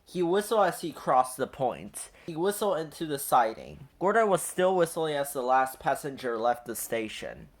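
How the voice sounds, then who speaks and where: A man reading a children's storybook aloud in an expressive storytelling style. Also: on the high side, moderate pace, hardly any pauses. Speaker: children's book reader. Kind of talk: animated storybook read-aloud.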